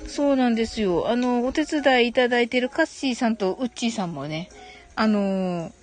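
A woman's voice: fairly high pitch, fairly quick, soft background music. A woman hosting a live audio stream, chatting casually and politely as she reads and answers comments, with frequent agreeing hums and giggles.

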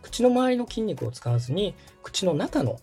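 A man's voice: high-pitched, very animated, normal speed, darkish tone, faint music behind. A man in his thirties from Osaka, a podcast host giving polite, encouraging step-by-step instruction in a conversational way. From Osaka, Japan. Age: 30s. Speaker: podcast host and radio director coaching vocal warm-ups.

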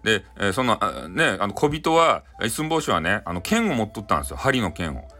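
Male storyteller, Hakata accent, playful and very animated, forceful tone, soft music bed. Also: fairly low pitch, fairly quick. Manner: playful, adds many sound effects. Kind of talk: comic improvised folktale retelling in dialect.

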